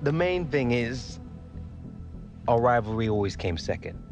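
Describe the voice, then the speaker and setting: A man's voice: medium pitch, sing-song, unhurried, over music. An actor playing a young male footballer in a video game cutscene, laid-back and cheeky. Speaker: main character of a football game story.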